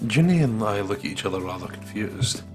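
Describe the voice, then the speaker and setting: A man's voice: lowish voice, expressive, brisk, darkish tone, soft background music. A man co-hosting a let's play, casually reading game text aloud and chatting in a jokey way.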